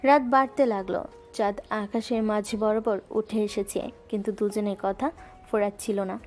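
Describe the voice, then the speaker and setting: A woman narrating a romantic audio drama in a smooth storytelling manner. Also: mid-range voice, steady tone, moderate pace, darkish tone, faint music behind.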